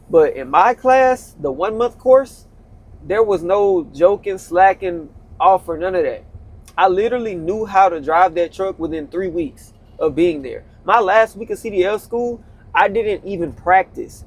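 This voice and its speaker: A man in his twenties talks loosely to his viewers from the cab of his truck, slipping into slang and repeating himself as he goes. His voice sits a little higher than most men's. The delivery is relaxed, conversational and motivational. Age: 20s. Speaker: vlogger, truck driver. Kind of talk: casual motivational talk.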